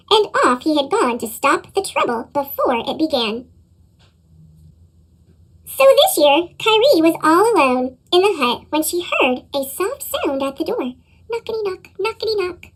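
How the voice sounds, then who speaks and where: Female children's narrator, chipmunk-processed, squeaky high, very animated read-aloud delivery. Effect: sped-up chipmunk pitch effect. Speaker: storybook reader.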